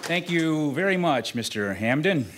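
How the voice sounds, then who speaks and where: An actor playing a folksy, sincere film star guesting on 1940s radio, plainspoken, reflective and unhurried. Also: male voice, on the high side, lively intonation, background noise. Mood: reflective, warm.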